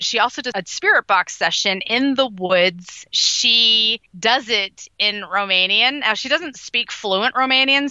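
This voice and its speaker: A woman's voice: on the high side, pushed and bright, no echo, muffled recording. A woman co-hosting a podcast, chatting casually and enthusiastically about paranormal shows, laughing often. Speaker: podcast co-host.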